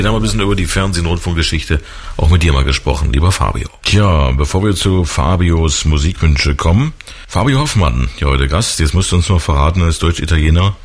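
A man's voice: very deep voice, husky. A man hosting a radio interview, chatting loosely with many fillers. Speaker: radio host.

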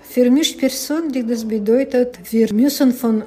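A middle-aged woman from Kazakhstan answering interview questions in halting, phrasing. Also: a little high, mellow. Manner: halting, non-native phrasing. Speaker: teacher being interviewed.

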